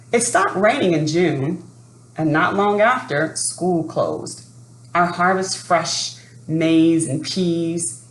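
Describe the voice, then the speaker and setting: A woman reading a children's picture book aloud in an expressive, unhurried manner. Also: on the low side. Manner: expressive, unhurried, adds asides to listeners. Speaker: storybook reader. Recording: roomy echo, faint background noise.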